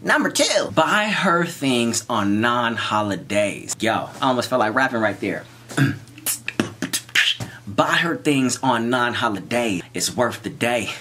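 A man's voice: medium pitch, slow pace, slight background noise. A man, chatting playfully to the camera in a small room and giving marriage tips. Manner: animated, playful, jokes and repeats lines. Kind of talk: casual to-camera chat. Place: small bright room indoors. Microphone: camera in front of him at close range.

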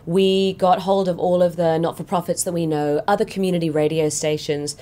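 A woman with a notably low voice gives practical advice in an interview. Her way of talking is direct and instructive. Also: steady tone, brisk, dark tone. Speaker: radio play organiser giving advice. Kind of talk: explanatory interview.

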